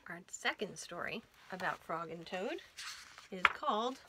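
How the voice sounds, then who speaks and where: A woman's voice: lowish voice, animated, a little slow, very noisy. A woman reading a story aloud to children as a storyteller.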